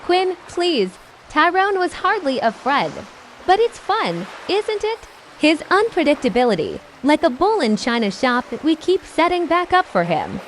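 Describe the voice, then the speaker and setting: Arch, playful rich villainess, high lively voice, faint watery noise behind. Motion comic. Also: female voice, measured pace. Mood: amused, arch.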